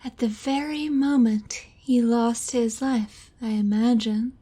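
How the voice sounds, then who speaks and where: Woman playing a slow, high-voiced femme fatale. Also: steady tone, dark tone, slightly rough. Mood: cool, sultry. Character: cool, mysterious, wealthy femme fatale widow. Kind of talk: noir audio drama acting.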